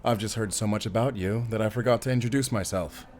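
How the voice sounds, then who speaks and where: A man's voice: lowish voice, fast talker, a little mellow, a little hiss or hum, lightly acted. A man playing a courteous, genial father of a grown son in an audio drama. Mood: genial, concerned. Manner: polite, jovial.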